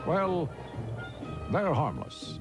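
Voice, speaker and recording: Male commercial narrator, tongue-in-cheek scripted read with animated intonation, clear music bed. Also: fairly high pitch, extremely slow.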